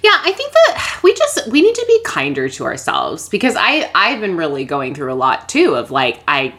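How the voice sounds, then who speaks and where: A woman's voice: deep voice, wildly swooping pitch, moderate pace, a bit bright. A woman in her thirties in casual conversation, upbeat, chatty and supportive. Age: 30s. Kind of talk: casual chat.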